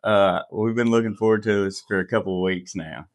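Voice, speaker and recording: A man's voice: fairly low pitch, fairly quick. A man hosting a podcast in a casual chat, easygoing and rambling with loose asides. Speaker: podcast host.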